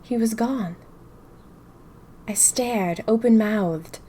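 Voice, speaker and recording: A woman's voice: a little high, very slow, breathy edge, heavily acted. A woman playing a spirited, inquisitive young diarist of the 1920s, narrating theatrically in a fiction podcast. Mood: suspenseful, bewildered. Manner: theatrical, expressive storytelling. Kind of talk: first-person diary narration in a fiction podcast.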